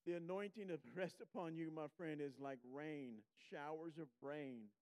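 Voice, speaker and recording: A man preaching a sermon as a guest, slow and emphatic, sniffling a little. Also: fairly high pitch, steady tone, a little mellow, clear, resonant tone.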